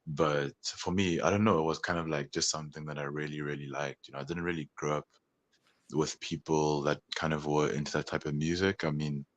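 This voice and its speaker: Man musician guest, extremely low voice, loose hesitant answers, dry close sound. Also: steady tone, brisk, dry recording. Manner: meandering, hesitant, full of "like" and "you know". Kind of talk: casual interview talk.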